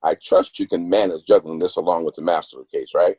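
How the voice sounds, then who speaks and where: A man playing a businesslike, commanding law-firm boss in a script table read, husky, on a dull dry recording. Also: deep voice, fairly even intonation, brisk, darkish tone, dry recording. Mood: brisk, authoritative.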